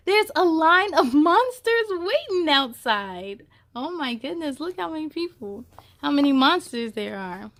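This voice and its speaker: A woman reading a picture book aloud for children, expressively, addressing young listeners with questions and exclamations. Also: high-pitched. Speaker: host of children's read-aloud story time.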